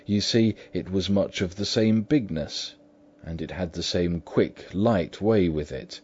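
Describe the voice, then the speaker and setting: A man's voice: low-pitched, mellow, slightly rough. A man voicing a frightened, loyal old butler who speaks urgently and pleads emphatically. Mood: earnest. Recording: dull lo-fi recording.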